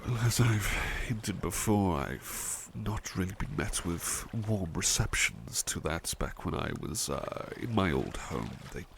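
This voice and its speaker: Man acting a low, unhurried, doting butler. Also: lively intonation, vocal fry, a little hiss or hum, exaggerated voice. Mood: wistful, reflective. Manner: soft, hesitant, doting, murmuring to the listener. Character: devoted, tender male butler who loves painting. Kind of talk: ASMR roleplay.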